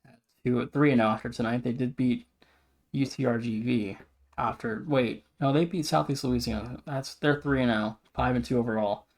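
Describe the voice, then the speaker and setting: A man's voice: mid-range voice, steady tone, normal speed, creaky. A man co-hosting a sports podcast, casually running through standings and team updates. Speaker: podcast co-host.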